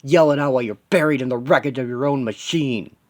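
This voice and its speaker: Man performing a grim, low-voiced vengeful superhero. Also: fairly even intonation, a little slow. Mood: furious. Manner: terse, dramatic inner monologue in short fragments. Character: grieving, vengeful male superhero, grimly determined and anguished.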